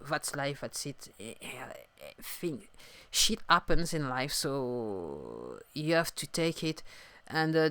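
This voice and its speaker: A French-accented woman in her fifties muses aloud, pausing and restarting as she hunts for the right words. Her voice is smooth and resonant, recorded close and dry with no room sound. She speaks English as a second language in an unhurried, thoughtful way. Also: deep voice, dry recording. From France. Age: 50s. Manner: halting, searching for words, soft and musing. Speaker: singer-songwriter podcaster. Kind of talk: reflective solo podcast talk.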